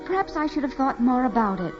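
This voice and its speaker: A woman's voice: high-pitched, animated, fast talker, over music. An actress playing a naive, eager young farm woman, earnest and wistful, in dramatic 1940s radio style. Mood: wistful remembering. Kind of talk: 1940s radio acting, dramatic first-person narration. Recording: muffled 1940s radio recording.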